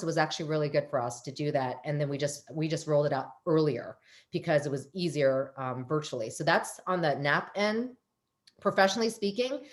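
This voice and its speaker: A woman psychologist speaking animatedly on a panel over a video call, with a loud, emphatic voice. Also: fairly low pitch. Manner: animated, emphatic, self-correcting.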